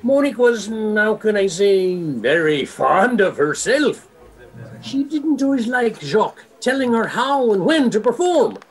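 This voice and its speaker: Guy playing a retired nightclub regular, high voice, super swoopy pitch, gossipy radio play witness. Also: sing-song, unhurried, heavily acted, poor audio. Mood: knowing. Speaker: actor in community theatre radio play. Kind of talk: theatrical radio play dialogue.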